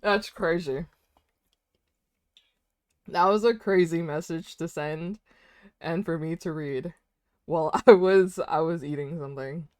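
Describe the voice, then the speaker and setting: A woman's voice: low-pitched, measured pace, pauses often, a little mellow, no room sound, studio quality. A woman in her twenties chatting casually while drawing on a stream, rambling, laughing often and saying "dude" and "like". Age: 20s.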